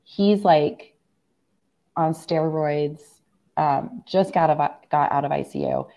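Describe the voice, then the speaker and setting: A middle-aged American woman, hoarse from laryngitis, telling a personal story as a guest in a casual video-call interview. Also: low voice, slow pace, mellow. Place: room at home, on a video call.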